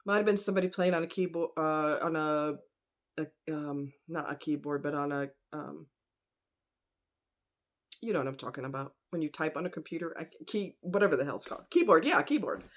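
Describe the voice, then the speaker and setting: A woman's voice: sits low, fairly quick. A woman talking casually in a rambling opinion vlog, conversational and informal, with slang and rhetorical questions. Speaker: vlogger.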